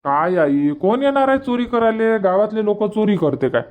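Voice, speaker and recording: A man voicing a practical, impatient village husband in a comic cartoon, brisk and persuasive, with a Varhadi Marathi accent. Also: high voice, animated, phone-quality sound. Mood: dismissive. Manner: brisk, persuasive, matter-of-fact.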